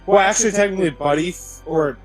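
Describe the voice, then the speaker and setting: A man's voice: sits high, quick pace, faint music behind. A young man, one of the players, recapping the last game session in a rambling, filler-heavy way.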